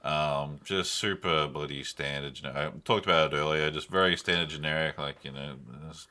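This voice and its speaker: A man's voice: rumbling low, fairly even intonation, normal speed, sharp tone. A man co-hosting a podcast in casual chat, speaking loosely with slang and swearing. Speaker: podcast co-host.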